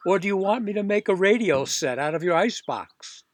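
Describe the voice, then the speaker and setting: A storybook serviceman, as a male narrator puts him on, gruff and argumentative. He talks in a folksy, working-class way, mocking and suspicious, with a somewhat high pitch. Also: animated, moderate pace, dry recording. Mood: sarcastic.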